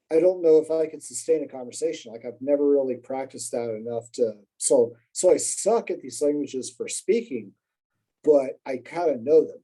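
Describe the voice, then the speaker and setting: A man on a podcast talks loosely and at length, stopping and restarting with plenty of fillers. His voice sits in a very dark, mellow register. He pokes fun at himself as he goes. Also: mid-range voice, poor audio. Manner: rambling, self-deprecating, lots of fillers and restarts. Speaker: podcast guest. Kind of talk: casual conversational storytelling.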